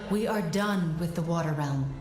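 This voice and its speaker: A woman playing a grave, knowing advisor in a video game, speaking in a measured, serious, explanatory way. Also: on the low side, close to an everyday voice. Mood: calm, instructive. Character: grave, knowing female advisor guiding a warrior. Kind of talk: video game cutscene voice acting. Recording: echoing voice with music behind. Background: game music.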